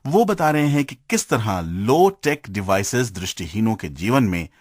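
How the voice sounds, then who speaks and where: A man with an Indian accent presenting a scripted radio show with measured, rhetorical emphasis. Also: fairly low pitch, very animated, no echo, studio quality.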